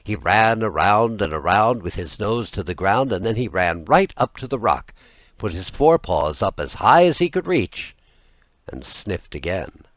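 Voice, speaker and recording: A man reading a fairy tale as an audiobook narrator, with expressive, deliberate storytelling. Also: on the low side, dry recording.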